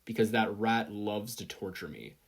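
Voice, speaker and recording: A reader voicing a weary, deadpan male teacher in a story, drawling and grumbling with boredom. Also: sits low, normal speed, gravelly, slightly rough, heavily acted.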